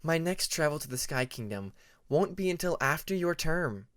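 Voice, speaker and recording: A man's voice: on the high side, lively intonation, moderate pace, fairly bright tone, no room sound, studio quality. Man voicing a kindly, reassuring female dragon. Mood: affectionate. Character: grown female dragon, kindly old friend and diplomat.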